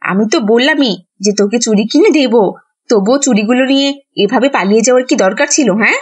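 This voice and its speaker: Woman as a husky, exasperated village mother. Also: fairly high pitch, fast. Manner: scolding, exasperated. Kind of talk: cartoon voice acting.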